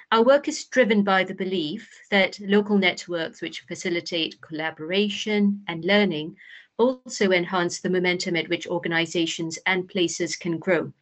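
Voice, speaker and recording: Woman host giving a formal introduction. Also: on the low side. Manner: formal, scripted, occasional fillers.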